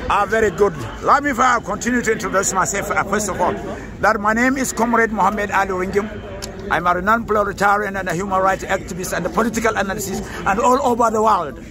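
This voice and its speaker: Emphatic high-voiced Nigerian man outdoors. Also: brisk, crowd noise. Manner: emphatic, grandiose, repeats himself. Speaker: political activist interviewee. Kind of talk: street interview answers. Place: outdoors on an urban street near traffic.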